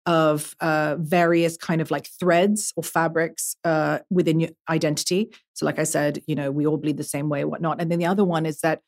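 A woman's voice: low voice, level tone, normal speed, hardly any pauses, a little mellow. A young woman, a guest artist, talking thoughtfully on a podcast, with many fillers and restarts. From half Emirati. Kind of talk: podcast conversation.

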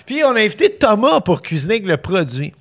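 A man whose first language is English, chatting casually in a podcast and telling animated stories. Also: fast talker. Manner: animated storytelling with laughs and lively pitch jumps. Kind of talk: casual chat.

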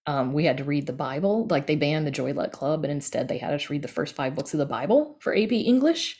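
A woman's voice: low-pitched, expressive, fast, a little mellow, husky. An American woman from East Texas speaking casually and emphatically as an interview guest. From East Texas, United States. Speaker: podcast guest, audio drama creator.

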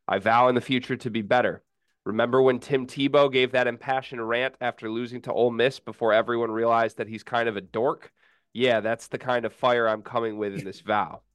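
Deadpan man co-hosting a sports podcast. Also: mid-range voice, fairly quick, smooth, no echo. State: sick, feeling rough. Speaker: podcast co-host. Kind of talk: casual sports podcast chat.

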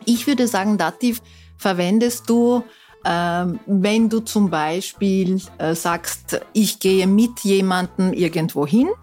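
A middle-aged woman speaking in a relaxed podcast conversation. Her pitch sits in an ordinary middle range, and she talks in a chatty, self-deprecating way, laughing easily, while faint music plays underneath. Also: takes it slowly. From Austria. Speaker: guest, former member of parliament.

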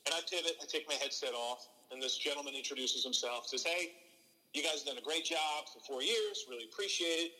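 A man's voice: fairly high pitch, fairly quick, in a large hall, rough recording. A middle-aged man who calls baseball games, talking earnestly as a guest and telling stories with many restarts. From from New Jersey. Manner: earnest, animated, frequent restarts. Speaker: baseball play-by-play broadcaster, podcast guest. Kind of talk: relaxed interview storytelling.

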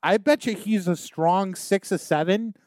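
A man's voice: high voice, unhurried, a little mellow, dry recording, studio quality. A man co-hosting a podcast, chatting casually and joking around. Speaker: podcast co-host.

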